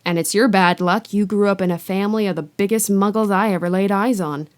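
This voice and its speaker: A huge, rough-mannered giant of a man who bursts out loudly when he is angry and drops low when he is anxious, as a woman puts him on while reading. He talks in a blunt, homely way. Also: fairly even intonation, brisk, close to an everyday voice. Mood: gruff. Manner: blustering, loud outbursts. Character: big rough-voiced half-giant man, gruff and warm.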